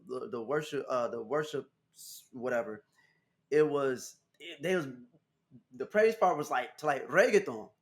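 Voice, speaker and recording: An American man in his thirties, a guest musician telling stories in a casual interview, animated and laughing often. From United States. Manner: animated, laughing, jumps into high voice for quotes.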